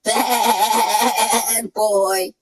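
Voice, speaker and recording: A man with a New York accent ranting and trash-talking through a pitch-shifted chipmunk voice effect, cocky and profane. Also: little pitch movement, very slow, heavy background noise. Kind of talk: brash trash-talk rant.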